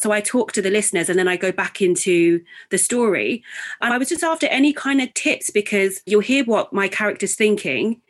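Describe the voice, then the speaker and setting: A woman with a mid-pitched voice asks a long, earnest question about voicing characters. She explains her point at length before getting to the question. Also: a bit bright. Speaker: audience member asking a question. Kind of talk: asking a detailed question.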